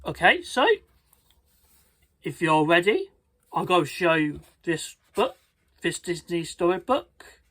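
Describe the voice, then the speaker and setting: A storybook reader and video host with a halting, careful delivery and stumbles, reading aloud in a husky voice. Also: medium pitch, lively intonation, fairly bright tone, dry recording. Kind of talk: read-aloud storybook narration.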